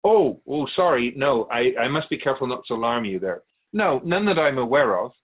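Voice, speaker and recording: A man playing a wise, gentle, cryptic otherworldly keeper of souls in a radio drama, speaking in a measured, courteous, philosophical way. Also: mid-range voice, lively intonation, narrow, tinny audio, close to an everyday voice. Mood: concerned.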